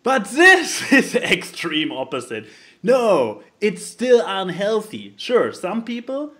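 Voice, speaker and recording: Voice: mid-range voice, wildly swooping pitch, slow, a bit bright. A podcast co-host in casual chat, commenting in a theatrical, emphatic way and stumbling over words.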